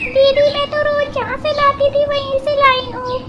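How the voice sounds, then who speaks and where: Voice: extremely high pitch, flat delivery, moderate pace, dull audio. A performer voicing a meek, aggrieved young village girl, pleading and deferential, in a moral story. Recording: loud background noise.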